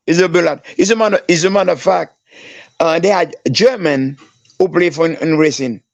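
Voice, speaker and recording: Gravelly Haitian-accented man telling stories. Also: fairly quick, no room sound. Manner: animated storyteller, acts out quoted dialogue with raised pitch. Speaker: guest. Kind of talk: casual storytelling. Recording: dry, dull lo-fi.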